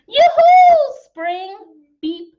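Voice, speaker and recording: A woman's voice: very high-pitched, very animated, extremely slow. A young American woman reading a picture book aloud to small children in a theatrical, sing-song, exaggerated way. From Texas, United States. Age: young adult. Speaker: children's storytime teacher. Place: small decorated room at home, indoors. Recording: dull, slightly muffled webcam sound.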